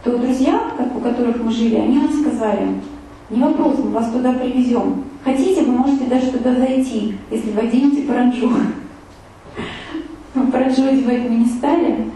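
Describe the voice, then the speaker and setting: A woman's voice: fairly high pitch, brisk, dark tone, distant mic, slight background noise. Chatty woman talking in an echoey room. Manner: chatty, full of fillers and restarts. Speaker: speaker giving a travel talk with photos. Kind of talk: casual spoken travel story to an audience.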